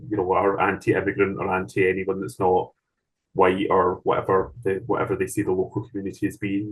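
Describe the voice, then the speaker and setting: A Scottish man speaking as the guest author in an online seminar, thoughtful and measured, with frequent ums and restarts. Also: deep voice, husky, rough recording. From Scotland. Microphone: video call.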